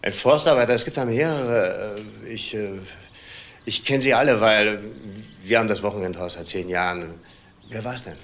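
A man's voice: fairly low pitch, moderate pace, a bit bright. An actor playing a middle-aged married man in a crime drama, controlled and probing, with clipped questions. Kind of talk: screen crime drama dialogue. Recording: faint background noise.

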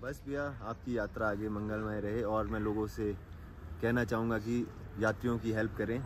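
A man who gave a lift, speaking earnestly and softly outdoors by a car. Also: on the low side, little pitch movement, normal speed, background noise.

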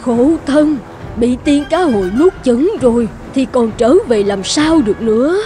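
A woman playing a sorrowful, devoted young wife in an animated fairy tale. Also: on the high side, unhurried, faint music behind. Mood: grieving.

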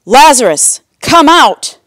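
A woman voicing a calm, reassuring, solemn male religious teacher in a storybook Bible tale. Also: fairly high pitch, moderate pace, no room sound, studio quality, natural voice. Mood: commanding.

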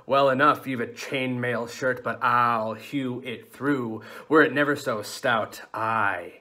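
A man's voice: mid-range voice, slow pace, pushed and bright. A man playing a defiant, dreamy young outlawed woodsman in a classical stage monologue, theatrical and swinging suddenly between musing and outcry. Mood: combative.

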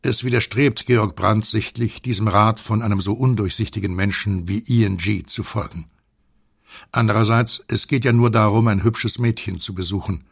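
An actor as the knowing, measured narrator of a German conspiracy audio drama. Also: male voice, fairly low pitch, steady tone, gravelly, husky.

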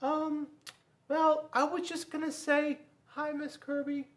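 A man's voice: extremely high pitch, flat delivery, slow, voice pushed up. A man voicing an anxious, timid young schoolboy in a storybook, with a hesitant, plaintive manner. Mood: awkward, polite.

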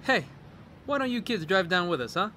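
A hearty, boisterous rich pal in a radio drama. His voice is high and bright, slangy and jaunty, with clear background ambience noise behind it. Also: big pitch swings, a little fast, full character voice. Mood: breezy, jovial. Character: breezy, hearty, wisecracking well-off pal.

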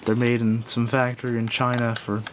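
A man giving a casual, unscripted product review in a halting, self-doubting way, recorded indoors at a tabletop on a camera microphone. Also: monotone. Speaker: gadget reviewer. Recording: clear background noise with low rumble.

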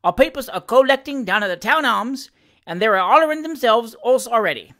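Breezy Cockney servant for a storybook, played by a man, cheeky and emphatic, with lively swings in pitch. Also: brisk, full character voice. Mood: cheerfully emphatic. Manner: emphatic, colloquial.

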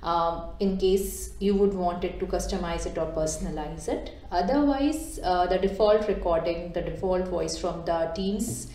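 A woman, working as a digital transformation coach, calmly explaining software settings step by step with frequent ums and uhs. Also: on the low side, moderate pace, few pauses. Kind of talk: screen-recorded software tutorial. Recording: echoey room.

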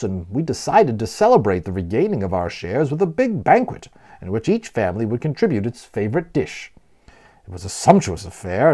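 A man reading a children's novel aloud as a storyteller, expressively and with deliberate emphasis on key words. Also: medium pitch, warm tone. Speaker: audiobook narrator and storyteller.

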